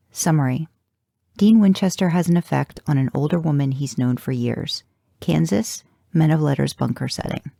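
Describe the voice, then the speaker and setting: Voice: medium pitch, vocal fry, warm tone, no echo, clean studio sound. A narrator reading first-person fan fiction in an audiobook style, with a wry, intimate delivery. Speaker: fan fiction podcast reader.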